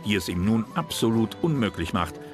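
Very deep-voiced male documentary narrator. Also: very animated, brisk. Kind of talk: scripted historical narration. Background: loud music bed.

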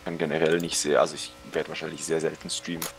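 Young male streamer, very low voice, slangy and jokey, faint music underneath. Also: little pitch movement, fast talker. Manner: slangy, joking, swears and laughs. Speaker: game streamer. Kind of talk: casual gaming stream chat.

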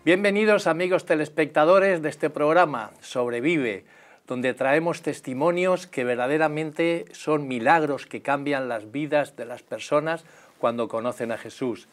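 A man's voice: fairly high pitch, unhurried, soft background music. A middle-aged man hosting a faith testimony TV show, welcoming viewers and guiding the guest with questions, on a lapel mic in a small studio. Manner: welcoming, asking prompting questions. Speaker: TV show host.

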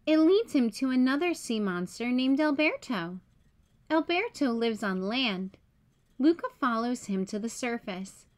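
A woman reading a children's picture book aloud as a storyteller, in clear, simple, expressive storybook delivery. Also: fairly high pitch, a little slow.

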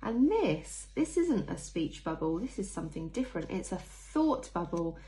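A woman's voice: lowish voice, very animated, normal speed, dark tone, rough recording. A young woman, a primary school teacher, leading a read-along phonics lesson for small children, sounding out words letter by letter and putting questions to them.

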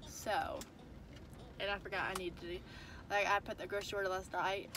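A woman's voice: medium pitch, little pitch movement, normal speed, background noise. A young woman, congested from a cold, chatting to a phone camera held at arm's length in a car, tired and rambling. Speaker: family vlogger. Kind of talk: casual chat to camera. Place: inside a parked car.

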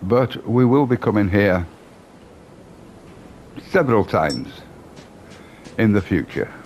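A man's voice: on the low side, animated, slow, darkish tone, a little hiss or hum. A man hosting a gaming channel, giving walkthrough commentary over a video game.

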